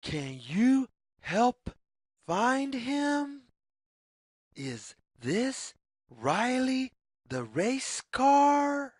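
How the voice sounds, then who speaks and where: Female children's storyteller: very slow, teacherly delivery, animated pitch swings, dry close sound. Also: dry recording. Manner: very slow, deliberate, teacherly phrasing with questions to the listener. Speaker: read-aloud storyteller for young children. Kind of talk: children's story read-aloud, scripted narration.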